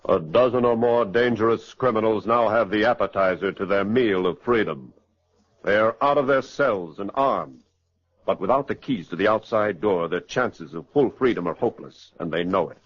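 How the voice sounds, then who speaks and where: A man's voice: fairly low pitch, normal speed, lightly acted. A man narrating a 1940s radio crime drama in a grave, formal, dramatic style. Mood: grave, suspenseful. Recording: muffled 1940s radio recording.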